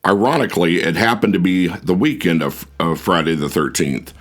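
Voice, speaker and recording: A man narrating an audiobook foreword in a measured, even, scripted reading. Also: low voice. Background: faint music.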